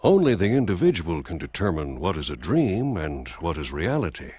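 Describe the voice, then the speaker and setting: A man voicing a thoughtful, philosophical man in a video game, measured and pondering in delivery. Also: low-pitched, sing-song, dark tone, husky, dry recording, exaggerated voice. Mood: pensive.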